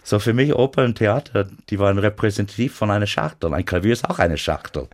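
A man whose first language is English, with an American accent, speaking German as a radio interview guest and often stopping to search for words. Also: on the low side. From United States. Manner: halting, searching for German words, frequent restarts. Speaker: guest pianist.

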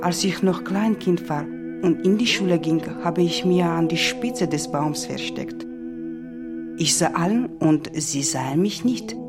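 Low-voiced Georgian-accented woman over music. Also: a little slow. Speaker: interviewee in a radio feature. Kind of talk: personal storytelling. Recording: music under the voice. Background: prominent music.

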